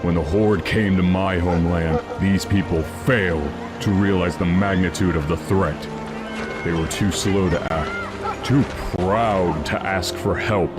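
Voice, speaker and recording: A man's voice: deep voice, fairly even intonation, measured pace. A man playing a stern, earnest human army captain in a fantasy game, speaking formally. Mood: grave. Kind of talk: fantasy game cutscene acting. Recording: music under the voice.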